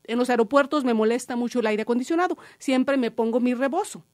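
A woman's voice: on the high side, fast talker, a little mellow, no echo, clean studio sound. A woman radio host in conversational storytelling, addressing listeners directly. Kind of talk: radio discussion with personal story.

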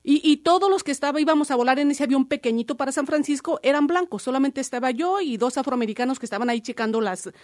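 A woman's voice: high-pitched, fast, few pauses, a little mellow, no echo, studio quality. A woman radio host in conversational storytelling, addressing listeners directly. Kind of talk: radio discussion with personal story.

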